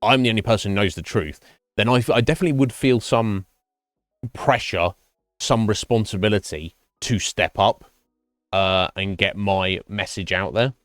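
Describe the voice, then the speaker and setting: A man streaming live and chatting with viewers, thinking his answers through in a halting, thoughtful way. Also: fairly low pitch, fairly bright tone, no echo, clean studio sound. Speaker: Twitch streamer.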